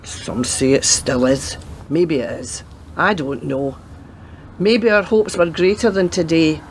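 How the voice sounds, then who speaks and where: Actress doing older ex-cop gran, radio play, dry measured sceptical delivery, bit of noise behind. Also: female voice, low-pitched. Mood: weary, reflective. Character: retired policewoman grandmother, world-weary, sceptical, dry.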